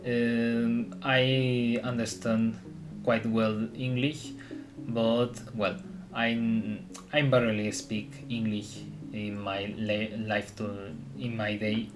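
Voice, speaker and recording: A man from Spain who speaks English as a second language, chatting casually on a live stream and often pausing to search for words. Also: fairly low pitch, little pitch movement, background noise. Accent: Spanish. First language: Spanish. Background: guitar music.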